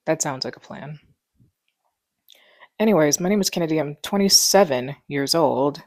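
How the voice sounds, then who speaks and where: A woman's voice: deep voice, moderate pace, no echo, clean studio sound. A woman in her twenties chatting casually and rambling at home, with lots of fillers, on a clip mic. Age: 20s. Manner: relaxed rambling, many fillers. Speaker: vlogger. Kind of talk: casual chat. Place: living room at home. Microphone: wireless clip mic.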